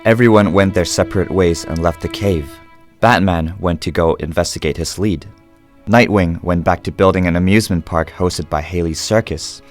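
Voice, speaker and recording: A man's voice: low voice, normal speed, soft background music, lightly acted. A man narrating a radio drama in a scripted storytelling style.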